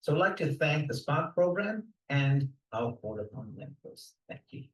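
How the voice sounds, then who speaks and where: A man presenting his team's software project in a recorded pitch, steady and technical with a few fillers. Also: a little slow, roomy sound, distant mic, poor audio. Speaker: codeathon team presenter.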